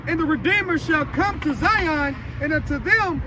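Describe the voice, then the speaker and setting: A man's voice: extremely high pitch, moderate pace. A man reading Bible verses aloud to a class and then explaining them in casual speech. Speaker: scripture reader in a class. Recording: loud wind noise on the mic.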